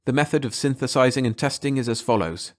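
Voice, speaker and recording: A man's voice: mid-range voice, fast, close to an everyday voice. A man voicing an eager, stubborn inventor who insists on getting his way. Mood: insistent. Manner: insistent and clamoring.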